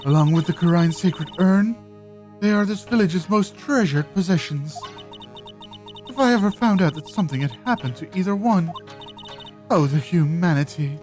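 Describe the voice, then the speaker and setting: A woman plays a severe, haughty matriarch in a video game. The delivery is formal and deliberate, swinging dramatically in pitch. Faint music plays behind the voice. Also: lively intonation, darkish tone, close to an everyday voice, rough recording. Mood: dramatic, haughty. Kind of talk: amateur video game voice-over.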